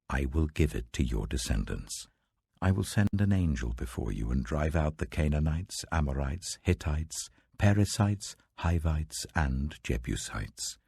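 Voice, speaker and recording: A British man voicing God as a stern, majestic deity, slow, weighty and commanding, in a scripture reading. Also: a bass voice, creaky, warm tone, slightly rough, dry recording, clean studio sound, voice pushed down, heavily acted.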